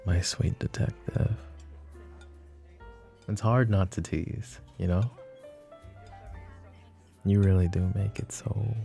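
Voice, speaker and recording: Roleplay audio: a man as a smug mafia boss, low gravelly purr, warm tone, faint music under. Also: steady tone, takes it slowly, pauses often, exaggerated voice. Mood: teasing. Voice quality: gravelly, teasing, soft-spoken. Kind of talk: ASMR roleplay acting.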